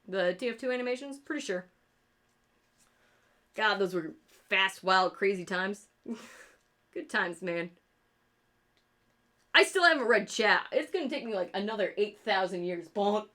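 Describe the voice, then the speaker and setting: A woman's voice: medium pitch, expressive, a little slow, long pauses, pushed and bright. A woman from rural New York with her own regional New York accent, chatting loosely while she draws on a livestream and swearing often. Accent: upstate New York. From rural New York State. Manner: rambling, swears a lot, laughs at own jokes. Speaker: streamer and artist. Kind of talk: casual livestream chat while drawing.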